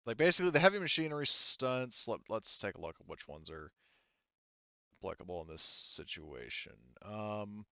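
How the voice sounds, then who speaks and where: A man acts as game master in a tabletop roleplaying session, talking casually and working things out aloud. His voice is on the low side and smooth. The recording is dry and a little dull. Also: lively intonation, normal speed, sharp tone, dry recording. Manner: informal, thinking aloud.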